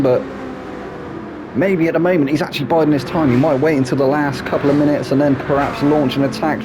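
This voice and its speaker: A man with a snuffly hay fever voice, commentating a sim racing league in a chatty way, full of tag questions. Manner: chatty, asks tag questions, drops low for asides. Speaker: race commentator. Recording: loud game engine noise behind voice.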